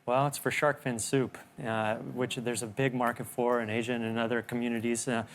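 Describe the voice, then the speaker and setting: Guy on a tv talk show talking sharks, calm and earnest. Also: medium pitch, a little fast, a little hiss or hum. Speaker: shark conservationist guest. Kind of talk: TV interview. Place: TV studio.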